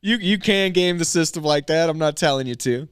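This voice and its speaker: A man's voice: fairly high pitch, a little fast, clear, resonant tone, no room sound, studio quality. A man, a stand-up comedian and military veteran, chatting loosely as a podcast guest, rambling with lots of fillers and restarts. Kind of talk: casual podcast conversation.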